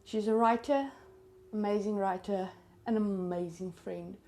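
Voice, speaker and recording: A woman's voice: medium pitch, steady tone, measured pace, a little mellow. A young South African woman, Afrikaans her first language, hosting a true crime podcast in a chatty, conversational way that speaks straight to her listeners. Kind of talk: casual podcast narration.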